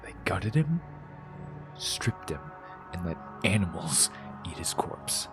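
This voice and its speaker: An actor playing a brooding, wounded rebel killer in an audio drama, persuasive and menacing, intense and often dropping toward a whisper. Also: male voice, low-pitched, big pitch swings, slow, music in the background, full character voice. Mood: grieving, bitter.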